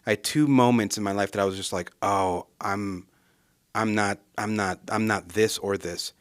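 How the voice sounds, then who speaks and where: A man from Southern California, a guest in a casual conversation, telling a personal story in a reflective, unhurried way and often searching for his words. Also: fairly low pitch, fairly even intonation, no room sound, studio quality. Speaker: guest, ministry association director.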